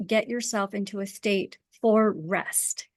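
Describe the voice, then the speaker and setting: A woman's voice: medium pitch, slow pace, dry recording. A woman speaking as a guest expert in an interview, explaining her ideas conversationally in an earnest, emphatic way. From Pakistan. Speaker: guest wellness and design expert.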